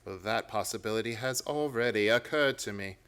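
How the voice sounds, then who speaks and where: An actor playing a brilliant, severe, self-assured English detective in a radio play, with a clipped, imperious, theatrical manner. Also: male voice, fairly low pitch, a little fast, fairly bright tone, clear, resonant tone, close to an everyday voice. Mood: decisive.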